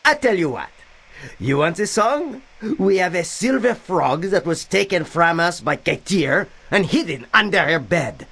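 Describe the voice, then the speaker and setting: A sly French schemer. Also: male voice, high voice, big pitch swings, a little slow, vocal fry, fairly bright tone, slightly rough, heavily acted. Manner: sly and theatrical, broken English phrasing. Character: scheming French man, a sly bargaining brother. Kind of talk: video game cutscene acting.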